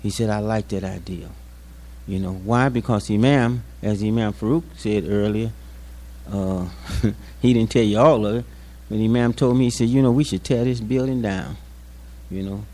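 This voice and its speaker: A man's voice: lowish voice, steady tone, moderate pace, mellow. Older American man giving a public address. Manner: plainspoken, folksy storytelling with acted-out quotes. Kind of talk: unscripted public speech with personal anecdotes.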